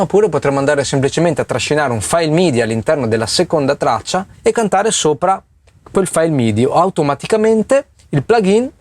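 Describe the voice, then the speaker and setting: A man hosting an informal step-by-step music software tutorial, explaining things and often checking in with short tags. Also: fairly high pitch. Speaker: host of a music production tutorial channel.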